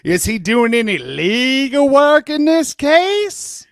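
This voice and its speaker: A man performs a courteous but dogged examining attorney in a comic deposition reading. His voice sits high for a man and moves at a measured pace. He repeats himself, placates and keeps pressing for answers. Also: very animated, no room sound, studio quality, heavily acted. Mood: probing. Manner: methodical, repetitive, placating questioning. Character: persistent, polite male lawyer questioning a deposition witness.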